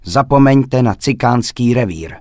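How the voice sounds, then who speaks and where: A man voicing a fierce old female fortune-teller who gives slow, insistent, ominous warnings. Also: mid-range voice, expressive, darkish tone, no room sound, clean studio sound, pitched above the performer's own voice, natural voice. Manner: menacing, insistent warnings, drawn-out slow phrases.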